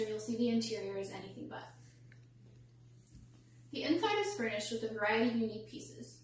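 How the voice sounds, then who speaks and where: A young woman delivering a rehearsed storytelling speech in a measured, formal, carefully enunciated way. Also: on the high side. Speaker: student public speaker. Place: indoor echoey room, recorded from a distance. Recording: echoey room, distant mic, faint hum.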